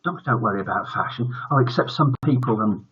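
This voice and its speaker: Very husky older British storyteller. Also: male voice, lowish voice, animated, fairly quick. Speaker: bedtime story host. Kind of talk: storytelling and casual livestream chat. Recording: dull lo-fi livestream audio.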